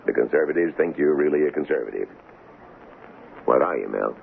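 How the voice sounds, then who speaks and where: On a dull, hissy old radio recording, a cynical political kingmaker talks in a very low, gravelly male voice. He is glib and wry, pushing his pitch with big swoops of intonation. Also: lively intonation, a little fast, heavily acted. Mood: wry, persuasive. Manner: glib, wheedling salesman's patter, wry. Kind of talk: old-time radio drama acting. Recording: muffled, noisy old radio broadcast.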